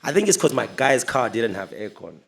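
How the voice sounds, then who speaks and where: A young man, a podcast guest, telling stories in a relaxed, casual way and laughing often. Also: medium pitch, fairly quick, creaky. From Zimbabwe. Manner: relaxed, laughs often, mixes in local words. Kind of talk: casual storytelling chat.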